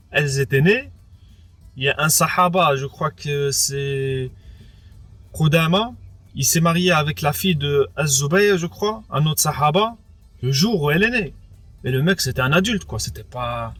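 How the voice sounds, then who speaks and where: Hesitant French-Moroccan man, slightly high voice. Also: animated, measured pace, long pauses, a bit bright, no echo, faint music behind. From France, of Moroccan background. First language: Arabic speaker as well. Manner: hesitant, repeats words, many fillers like "entre guillemets" and "quoi". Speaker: interviewee. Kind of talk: personal testimony, casual spoken.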